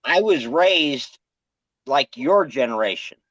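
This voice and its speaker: A man's voice: mid-range voice, lively intonation, slow, fairly bright tone, no room sound, dull audio. A man in his forties chatting casually and laughing, often restarting his sentences. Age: 40s. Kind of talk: casual chat.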